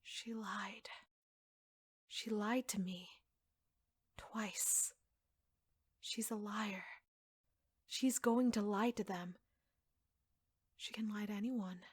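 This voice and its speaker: A woman playing an obsessive, jealous secretary in an ASMR audio roleplay, soft-spoken and often slipping into breathy whispers. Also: medium pitch, fairly even intonation, very slow, pauses often, no room sound, studio quality. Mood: brooding, resentful whisper. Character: obsessive yandere secretary, devoted, jealous, coldly menacing woman.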